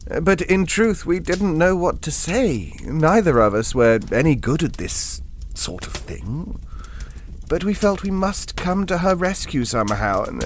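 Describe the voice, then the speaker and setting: An actor playing an earnest, bookish gentleman who recounts an eerie tale in an audio drama, wry, hesitant and reflective. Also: fairly high pitch, slight background noise. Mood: wry, awkward. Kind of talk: ghost story narration in audio drama.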